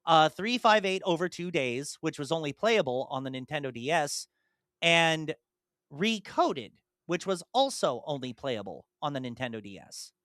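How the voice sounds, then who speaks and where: Young man, casual gaming chat, rambling and detailed, smooth clear voice, dry close sound. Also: on the high side, lively intonation, moderate pace, a bit bright, no echo. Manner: rambling, detailed, with many ums and restarts. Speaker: podcast co-host and streamer. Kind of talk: casual chat about video games.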